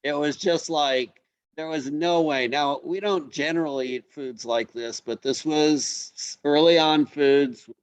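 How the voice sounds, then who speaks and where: A man presenting food photos in a casual online class, relaxed and halting with many fillers. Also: on the high side, smooth, no echo, highs cut off. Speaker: host presenting slides.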